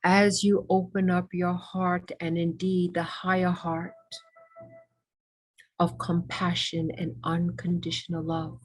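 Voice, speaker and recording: A woman leading a guided meditation with ASMR elements, speaking reverently in short, measured phrases with pauses between them. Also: lowish voice, flat delivery, husky.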